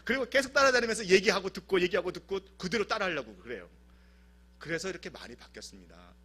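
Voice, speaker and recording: A man from South Korea preaching a sermon in church, telling stories in an animated, humorous way. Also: sits high, quick pace, breathy edge. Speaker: pastor. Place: church service.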